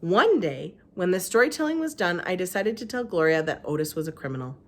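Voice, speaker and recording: A woman's voice: on the low side, sing-song, fairly quick. A woman narrating a children's storybook aloud like a teacher reading to a class.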